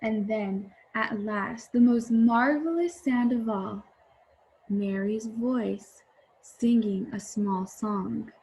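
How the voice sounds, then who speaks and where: Woman, teacher, very slow pace, storybook read-aloud, simple explanations for children, faint background noise. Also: a little high, darkish tone, poor audio. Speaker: teacher reading aloud to young students.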